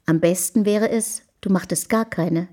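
An actress in a German radio play playing a proud, self-assured middle-aged wife of a poet, speaking in formal, measured period diction. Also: female voice, on the low side, dark tone. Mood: tender, wistful.

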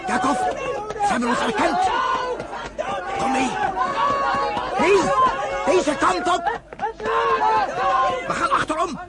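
Bezorgde jonge broer, hoge stem. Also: male voice, very high voice, animated, slow pace, pauses often, full character voice. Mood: urgent. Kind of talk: radio drama acting. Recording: noisy outdoor crowd sound effects.

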